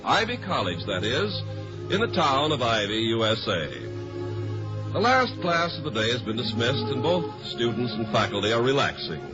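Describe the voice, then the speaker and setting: A man's voice: mid-range voice, very animated, moderate pace. A man with an American accent announcing in formal, polished 1950s radio style. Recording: dull old radio recording, loud music behind.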